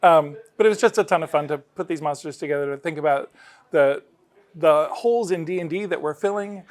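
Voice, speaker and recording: A middle-aged man with a somewhat high voice talks as a game designer being interviewed. He explains things in a casual, enthusiastic way. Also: fairly quick. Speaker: game designer interviewed about his work.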